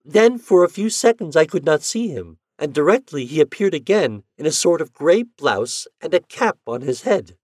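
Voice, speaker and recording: A man's voice: a little high, big pitch swings, moderate pace, few pauses, no echo, clean studio sound, voice pushed up. A man voicing an eager young errand boy who reports in an earnest, eager way.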